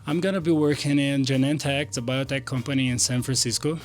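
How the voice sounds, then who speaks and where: A man's voice: mid-range voice, steady tone, a little fast, faint music behind. Man, earnest student. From Brazil. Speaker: MBA student. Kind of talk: interview answers.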